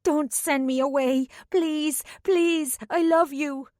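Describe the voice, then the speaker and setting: A woman reading an audiobook voices a desperate young woman spirit whose voice is reedy, ragged and shrill. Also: steady tone, slow, a little mellow, dry recording, clean studio sound. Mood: desperate pleading.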